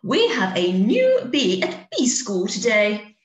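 A woman's voice: mid-range voice, wildly swooping pitch, slow, roomy sound. A woman voicing a stately queen bee in charge of a school, announcing things in an authoritative, teacherly way. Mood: bright welcome.

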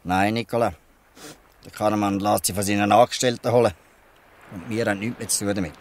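An actor with a Swiss German accent playing a weary, good-natured old fisherman who explains things haltingly in a dialect audio drama. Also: on the low side, steady tone, slight background noise, lightly acted. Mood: explaining, resigned.

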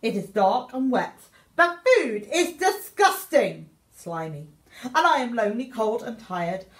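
A woman's voice: fairly high pitch, sing-song, unhurried. A woman reading a children's picture book aloud in an exaggerated, theatrical way, as a young meerkat writing letters home. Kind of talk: expressive children's story reading. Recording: echoey room.

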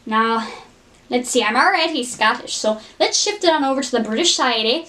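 A woman's voice: high-pitched, a little hiss or hum. A woman chatting casually to viewers as the host of an impressions video.

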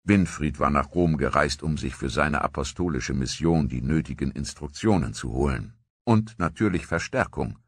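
A man narrating a history documentary in a measured, scripted storytelling manner. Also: a bass voice, a bit bright, slightly rough, no room sound.

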